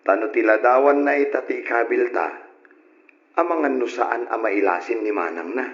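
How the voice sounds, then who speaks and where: Level-headed older brother, voiced by a man, calm, in a roomy lo-fi recording. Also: medium pitch, moderate pace, lightly acted. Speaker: son. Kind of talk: 1940s-style radio drama acting. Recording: echoey, dull old radio recording.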